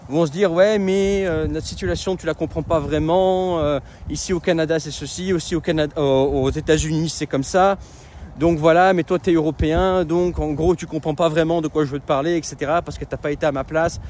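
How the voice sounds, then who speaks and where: Guy vlogging outside, kinda high voice, lots of ums, bit of traffic noise behind. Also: fairly even intonation, a little fast, darkish tone. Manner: conversational, many fillers and restarts. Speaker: web entrepreneur and coach. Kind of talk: casual vlog monologue.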